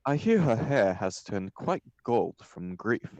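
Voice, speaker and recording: A man voicing a flippant, witty young gentleman dandy, airy and theatrical, in a stage comedy table read. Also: fairly low pitch, very animated, slow pace, dry recording, highs cut off, exaggerated voice. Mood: feigned regret.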